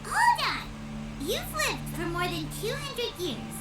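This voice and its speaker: A tiny chirpy fairy companion from a fantasy game. The squeaky childlike voice is extremely high and bounces up and down with curiosity. It sits a little far off under loud music. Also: female voice, wildly swooping pitch, slow pace, exaggerated voice. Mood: amazed. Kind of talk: scripted video game voice acting.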